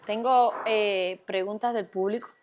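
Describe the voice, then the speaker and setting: A woman's voice: mid-range voice, a little slow, a little hiss or hum. Playful woman hosting an interview. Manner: lively and playful, reading out questions. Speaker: interviewer host. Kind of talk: interview hosting, reading audience questions.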